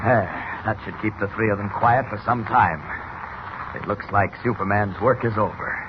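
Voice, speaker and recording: An American actor playing a mighty, fearless superhero in 1940s radio style, commanding, scornful and declamatory. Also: male voice, lowish voice, normal speed, close to an everyday voice. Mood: taunting, commanding. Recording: noisy, muffled old radio serial recording.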